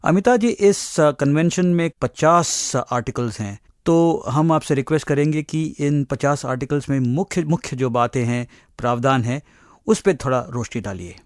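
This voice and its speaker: A man's voice: mid-range voice, normal speed, no echo. Polite male studio interviewer. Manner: polite, formal. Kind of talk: formal interview questions. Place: radio studio.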